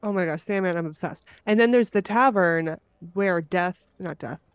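A woman's voice: lowish voice, moderate pace, clean tone, no room sound. A woman hosting a podcast in a casual, enthusiastic way. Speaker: podcast host. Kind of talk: casual chat.

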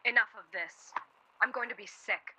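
A woman's voice: mid-range voice, normal speed, pushed and bright, breathy edge, low-quality recording. An actress playing a spirited, dry-humoured young woman of the 1940s in a radio play, speaking matter-of-factly. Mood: firm.

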